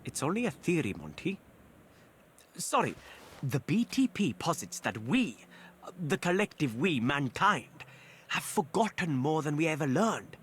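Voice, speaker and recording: An actor playing a wry, erudite academic, lecturing and musing in video game dialogue. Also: male voice, fairly high pitch, big pitch swings, a little slow, fairly bright tone, a little hiss or hum. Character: wry, erudite male academic expounding a pet theory.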